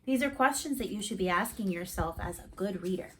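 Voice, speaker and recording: A woman reading a children's story aloud expressively, pausing to put questions directly to young listeners. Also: mid-range voice, expressive, slight background noise.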